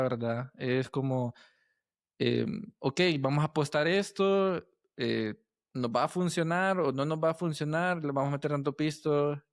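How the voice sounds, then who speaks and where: A man hosting a casual podcast interview, thinking aloud haltingly and often ending phrases with tag questions. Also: mid-range voice, no room sound, studio quality.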